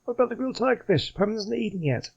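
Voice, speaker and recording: Guy doing a little food-obsessed game fairy, pushed up high, bit husky, childish and indignant. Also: expressive, racing, muffled recording, full character voice. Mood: whiny. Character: small, excitable, food-loving female fairy companion. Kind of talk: reading game dialogue aloud.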